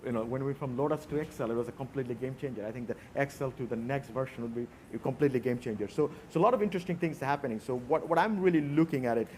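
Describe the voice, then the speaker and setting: A man's voice: medium pitch, rapid-fire, few pauses, a little mellow, slight background noise. A man from Bangladesh with a Bangladeshi accent, speaking reflectively and telling anecdotes as a conference panelist.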